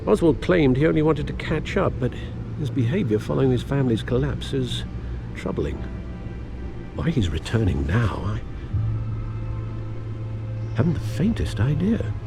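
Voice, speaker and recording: An actor playing an elderly British butler in a video game, formal, precise and dryly understated. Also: male voice, mid-range voice, big pitch swings, moderate pace, gravelly, pauses often, music in the background. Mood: troubled. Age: older.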